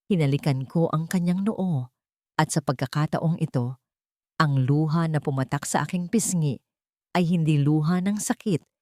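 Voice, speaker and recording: Woman doing emotive audio drama narration, lowish voice, really dry close sound. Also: darkish tone, no room sound. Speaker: narrator of audio love story. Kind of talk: dramatic scripted first-person narration.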